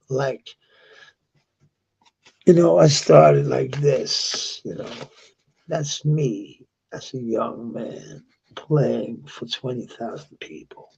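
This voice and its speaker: A man from Denmark with a Danish accent and a sore, gravelly voice, talking at length in a halting, rambling monologue. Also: a little high, warm tone, muffled recording. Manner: halting, with many restarts and fillers. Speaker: vlogger.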